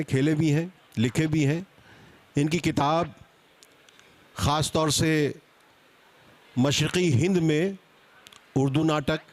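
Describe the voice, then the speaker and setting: Male seminar moderator, slightly high voice, conversational and extempore, halting restarts mid-sentence. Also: darkish tone. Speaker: panel moderator. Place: public seminar at a book event.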